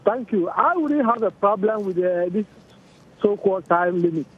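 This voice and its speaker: Male phone-in caller, high voice, halting but fervent, repeats himself, dull telephone audio. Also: expressive, slightly rough. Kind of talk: impassioned opinion on a talk show call.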